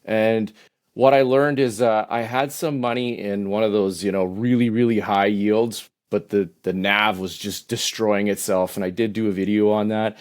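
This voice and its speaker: Casting: Canadian man, fifties, low voice, easygoing, halting guest answers. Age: 50s. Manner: relaxed, hesitant, many "uh" and restarts. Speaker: podcast guest. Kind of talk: casual interview answers.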